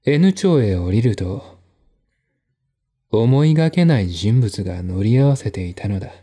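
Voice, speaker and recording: A man reading a mystery novel as narrator, with measured, deliberate, dramatic storytelling. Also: lowish voice, expressive, dark tone, breathy edge. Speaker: narrator and reader.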